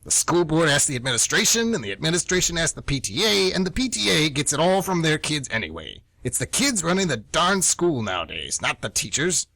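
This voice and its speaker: Radio play: male actor as indignant veteran schoolteacher close to retirement, animated, bright husky voice, emphatic rants. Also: on the high side, brisk, few pauses, dry recording, heavily acted. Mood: cynical, ranting. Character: grumpy old-school male high-school teacher nearing retirement. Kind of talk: amateur radio play acting.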